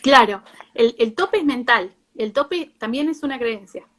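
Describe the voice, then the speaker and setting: Argentine woman explaining in a higher voice. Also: expressive, a little slow, a bit bright. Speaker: psychologist, guest speaker. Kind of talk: informal live talk, explaining with examples.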